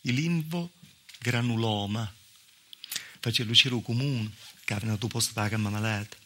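A man's voice: medium pitch, moderate pace, a bit bright, slight background noise, close to an everyday voice. A male stage actor playing a weary, mistreated southern Italian village wife, telling the story in a colloquial, confiding monologue with a Calabrian accent. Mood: rueful recounting. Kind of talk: theatrical monologue in dialect.

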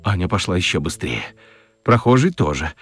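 Low, lively male storyteller. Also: normal speed, breathy edge, dry recording, soft background music. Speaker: narrator. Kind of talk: expressive scripted children's story narration.

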